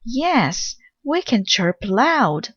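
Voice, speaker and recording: A woman's voice: on the high side, sing-song, drawn-out words, a bit bright, slightly rough, no echo, dull audio, pitched above the performer's own voice, heavily acted. A woman voicing a cheerful, oblivious cricket in a picture book.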